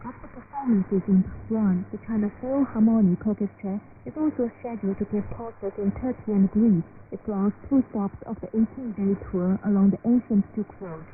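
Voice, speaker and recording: A woman's voice: mid-range voice, moderate pace, few pauses, phone-quality sound, noisy background. A woman reading a scripted news report as a radio reporter, heard over a shortwave broadcast.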